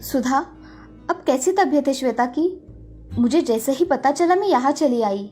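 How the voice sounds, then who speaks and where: A woman narrator plays a kind village wife in a moral tale, high-pitched and caring. She talks reassuringly, with music underneath. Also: animated, normal speed, mellow. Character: kind, helpful young married village woman.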